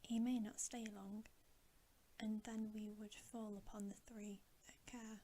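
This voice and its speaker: A woman's voice: mid-range voice, flat delivery, unhurried, warm tone, a little hiss or hum, natural voice. A woman voicing a wary male dwarf servant, cautious and counselling, in a whispered storybook reading. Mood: scheming.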